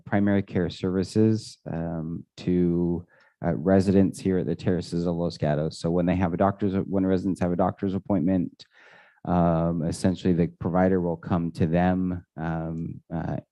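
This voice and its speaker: A man's voice: low-pitched, mellow, no echo. A man answering residents' questions at a community meeting, hesitant and full of fillers and restarts. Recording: dull, dry lo-fi audio.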